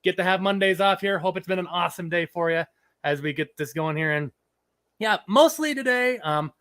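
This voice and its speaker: Chatty man with a high voice. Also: brisk, a bit bright. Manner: chatty, rambling, reads chat aloud. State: slightly scratchy from a cold. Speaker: livestream host. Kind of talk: casual live chat.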